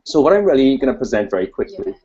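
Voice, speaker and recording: A man's voice: mid-range voice, sing-song, fast, warm tone, a little hiss or hum. A man from Trinidad with a Trinidadian accent, giving an informal conference talk as a researcher in a digressive, self-deprecating way. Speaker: academic researcher presenting at a conference. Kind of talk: informal conference presentation with slides. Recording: dull, lo-fi webcast audio.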